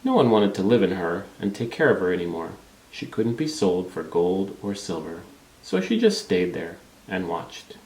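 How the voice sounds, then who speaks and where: Man, children's read-aloud, low pitch, echoey room. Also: very animated, normal speed, darkish tone. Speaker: storybook reader. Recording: roomy, echoing room sound.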